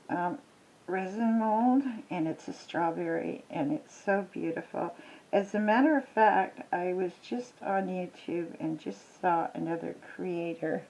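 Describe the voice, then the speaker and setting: An older woman, retired, chatting casually with lots of ums while she works on a craft at home, her voice a little croaky. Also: lowish voice, darkish tone, highs cut off. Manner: rambling, chatty, many ums and restarts. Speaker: crafting vlogger. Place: small home.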